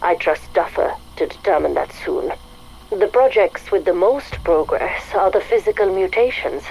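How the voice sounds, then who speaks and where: A woman playing an arrogant, coldly ambitious scientist dictating a game audio log, measured, self-satisfied and contemptuous. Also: lowish voice, a little mellow, poor audio. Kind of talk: scripted audio log monologue. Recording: faint noise, recorded audio log.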